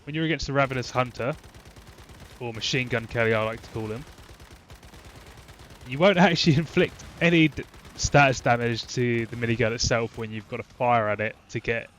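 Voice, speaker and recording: A man's voice: mid-range voice, a bit bright, slight background noise. A man with a congested, blocked-up voice walks through a game build, rambling with plenty of ums and restarts. Faint game gunfire and explosions sit behind him. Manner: rambling, frequent fillers and restarts. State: congested from a cold. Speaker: gaming channel host. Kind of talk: casual game build commentary.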